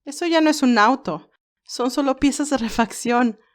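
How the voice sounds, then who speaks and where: A woman voicing a sweet, feisty young nurse in an expressive, girlish way for an audio romance story. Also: high voice, normal speed, voice pushed up, natural voice. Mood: teasing, incredulous. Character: Candy, sweet, feisty young nurse, easily moved and teasing.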